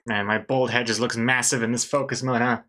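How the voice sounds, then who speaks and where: A man's voice: medium pitch, fairly even intonation, brisk, sharp tone. A man in his thirties, a livestreaming artist talking casually to chat while he draws, chatty and self-mocking. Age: 30s. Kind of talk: casual commentary while drawing on stream.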